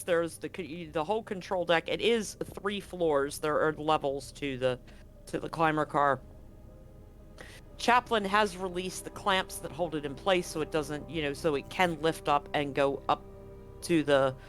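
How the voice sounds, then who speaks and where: A man's voice: on the high side, moderate pace, a bit bright, soft background music. A man narrating as game master of a tabletop roleplaying game, often correcting himself mid-sentence as he describes the scene. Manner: rambling, self-correcting narration.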